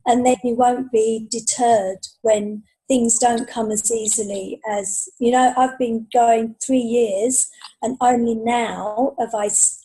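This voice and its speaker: A woman's voice: fairly high pitch, warm tone, breathy edge, poor audio. A woman talking casually as a writer on a panel, giving earnest, encouraging advice.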